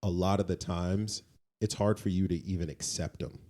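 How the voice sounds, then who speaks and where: A man with a very low, dark and relaxed voice talks casually as a podcast co-host. His delivery is informal and slangy, and he often repeats a phrase to drive it home. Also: normal speed. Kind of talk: casual conversational talk.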